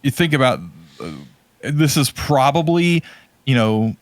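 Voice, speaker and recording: A man's voice: mid-range voice, a little slow, no room sound. Casual, rambling man on a close, dry mic. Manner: rambling, thinking aloud, enthusiastic. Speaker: podcast co-host. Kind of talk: casual chat about video game movies.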